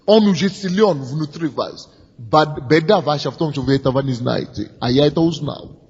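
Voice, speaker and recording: A man preaching a sermon with great passion, swinging between loud shouted declarations and quiet, intimate lines. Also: on the high side, moderate pace, husky. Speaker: pastor. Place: large church hall. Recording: dull sermon recording in a reverberant hall.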